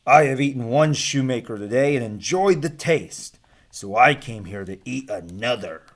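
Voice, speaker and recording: A man voicing a grumbling, hungry giant in a storybook, loud and slow. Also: medium pitch, expressive, close to an everyday voice. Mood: roaring, menacing.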